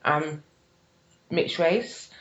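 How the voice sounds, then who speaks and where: A woman's voice: low-pitched, extremely slow. 40s woman, emphatic, agrees a lot, group chat, echoey room, muffled lo-fi audio. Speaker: podcast participant. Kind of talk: casual group discussion. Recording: roomy, dull lo-fi recording.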